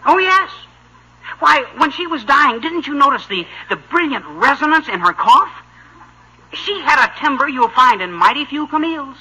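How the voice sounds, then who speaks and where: Earnest, self-important young man for old radio sitcom, lively pitch and piercing tone. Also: normal speed, full character voice, rough recording. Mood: pompous. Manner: pompous, precise, self-important. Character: earnest, pompous teenage boyfriend. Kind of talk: vintage American radio sitcom acting. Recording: old-time radio recording.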